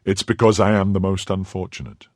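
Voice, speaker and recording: A man voicing a bold young musketeer who speaks in a brisk, commanding way. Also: sits low, flat delivery, darkish tone, dry recording, clean studio sound, close to an everyday voice.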